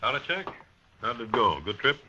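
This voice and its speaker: An American actor playing a terse, skeptical homicide captain in a 1950s radio drama, gruff and offhand. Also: male voice, medium pitch, big pitch swings, moderate pace, background noise, natural voice. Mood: terse, probing. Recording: dull vintage 1950s radio recording.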